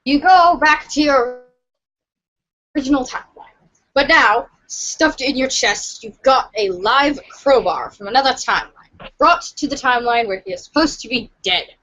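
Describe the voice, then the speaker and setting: A woman's voice: high voice, lively intonation, normal speed, bright, forceful tone, poor audio. A woman reading second-person comic narration aloud for a podcast. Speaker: reader in a webcomic reading podcast.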